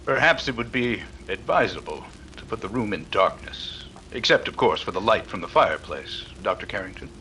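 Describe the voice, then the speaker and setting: A man playing a cool, courteous, skeptical detective with formal, measured speech, in a 1930s-style radio drama. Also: lowish voice, big pitch swings, sharp tone, a little hiss or hum, rough recording. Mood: calm.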